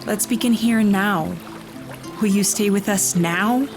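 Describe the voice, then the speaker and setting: An actress playing a tender, hopeful young female sword fighter, soft-spoken, in a fantasy radio play. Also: medium pitch, measured pace, lightly acted. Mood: warm, hopeful. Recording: music bed under the voice.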